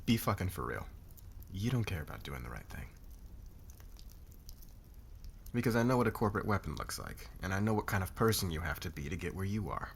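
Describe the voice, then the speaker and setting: Commanding, smug corporate lord played by a man, expressive intonation, faint hiss behind, for ASMR roleplay drama. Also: low-pitched, gravelly, heavily acted. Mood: smug, accusing. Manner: commanding, sardonic, probing, deliberate. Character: demanding, smug corporate boss, a cool calculating lord. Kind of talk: scripted ASMR roleplay acting.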